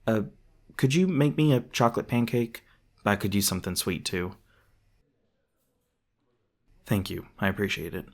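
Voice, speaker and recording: Man acting a low-voiced, gruff but caring hunter. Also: animated, fairly quick. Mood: casual, caring. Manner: hesitant, casual, sweary, awkwardly softening. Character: gruff, defensive young male monster hunter, secretly caring. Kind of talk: intimate ASMR roleplay acting.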